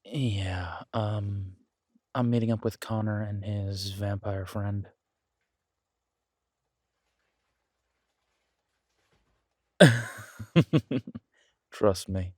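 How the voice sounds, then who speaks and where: A man's voice: lowish voice, fairly even intonation, extremely slow, long pauses, no room sound, studio quality. A man playing an easygoing, affectionate werewolf biker in an intimate audio drama, talking casually and hesitantly to a partner. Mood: reassuring, casual. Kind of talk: intimate roleplay audio drama, one-sided conversation.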